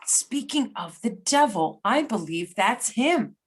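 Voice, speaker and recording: A woman's voice: fairly high pitch, very animated, takes it slowly, slightly rough, full character voice. A woman playing a wry, self-assured FBI agent with a dry, teasing manner in an amateur script reading. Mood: dismissive.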